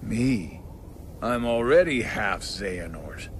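An actor playing a sly, mocking middle-aged villain in a video game, with a sardonic, slangy drawl. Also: male voice, lowish voice, expressive, slow pace, a little hiss or hum. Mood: smug, gloating. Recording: game music behind the voice.

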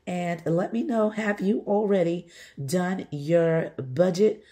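Woman, hoarse and groggy, husky, lowish voice, chatty budgeting vlog at home. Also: takes it slowly, warm tone. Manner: chatty, repeats "all right" and "okay". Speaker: budgeting channel host. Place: home, table-top filming.

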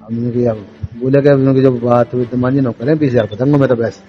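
A man's voice: mid-range voice, steady tone, fairly quick. A man with a rural western Uttar Pradesh accent talking informally on a phone recording, placating and often repeating himself. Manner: placating, repetitive, murmured agreement. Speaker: village head on a phone call. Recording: leaked phone call recording, music behind.

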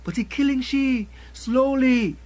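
An older man with a Caribbean accent recites a spoken word poem in a slow, rhythmic way, returning again and again to a refrain, at a desk mic in a small room. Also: extremely high pitch, a little mellow. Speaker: poet. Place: small room with a colorful backdrop.

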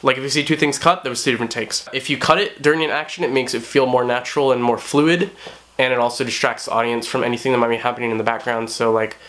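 Brief: hoarse young guy vlogging from his bedroom, medium pitch, loose and rambling. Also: fairly even intonation, fast, fairly bright tone. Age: young adult. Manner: rambling, many fillers and "like"s. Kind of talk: casual talk to camera. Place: small bedroom, indoors. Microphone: camera on tripod at close range.

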